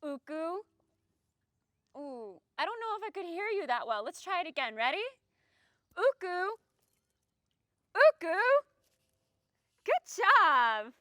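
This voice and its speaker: A woman's voice: very high voice, big pitch swings, slow, long pauses, pushed and bright, no echo, clean studio sound. A woman presenting a children's storytime as a friendly mermaid, playfully talking straight to young viewers with exaggerated expression. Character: friendly singing mermaid who loves the land. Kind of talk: children's storytime read-aloud and singalong presenting.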